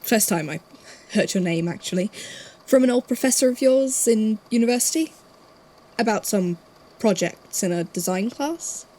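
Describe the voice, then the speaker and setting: Female archivist interviewer actor role, audio drama: businesslike, curious, measured, with a breathy, soft dark tone. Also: medium pitch, animated, lightly acted, poor audio. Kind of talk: fan fiction audio drama, interview questions.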